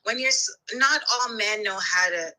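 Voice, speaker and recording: A woman's voice: mid-range voice, moderate pace, pushed and bright, rough recording. A middle-aged woman from Trinidad calling in as a guest, chatting frankly and often checking that listeners agree. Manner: candid, conversational, seeking agreement. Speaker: call-in guest. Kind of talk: casual frank chat.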